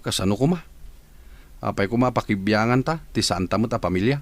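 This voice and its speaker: A man's voice: fairly low pitch, brisk, close to an everyday voice. A man playing an inquisitive, skeptical household co-worker in an Ilocano radio comedy-drama. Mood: skeptical, curious.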